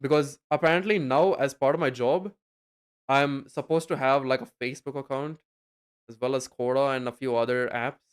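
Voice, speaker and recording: A young man talks to his stream chat in a loose, rambling way, leaning on fillers and tossing in swear words. His voice is smooth and clear, at a middle pitch. He sounds like a casual streamer speaking into a desk mic at home. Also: steady tone, moderate pace. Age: young adult.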